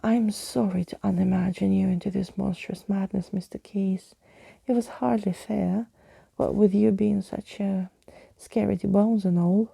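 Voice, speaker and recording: A woman's voice: medium pitch, steady tone, measured pace, gravelly, warm tone, husky, dry recording, full character voice. A woman voicing a playful, cheeky, imaginative girl in a storybook reading, light and teasing.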